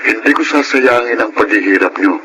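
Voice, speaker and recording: A man's voice: medium pitch, a little fast, noisy background, close to an everyday voice. A man playing an earnest, ambitious young college graduate in a Filipino radio drama, with a Filipino accent. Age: young adult.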